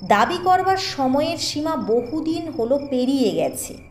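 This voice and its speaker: High, expressive woman narrating a ghost story. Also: unhurried, slight background noise. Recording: echoing voice over music bed.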